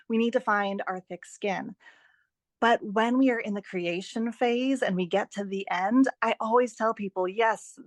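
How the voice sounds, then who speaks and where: A woman speaks as an enthusiastic guest teacher in a conversational interview, in a very dry recording. Her pitch sits in the middle range, and she stresses her encouraging advice with emphatic repetition. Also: no echo. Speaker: guest author and writing teacher.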